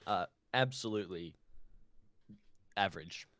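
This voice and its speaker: A man's voice: low-pitched, measured pace, a bit bright, dry recording. A man co-hosting a podcast in a casual, rambling, opinionated chat. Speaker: podcast co-host.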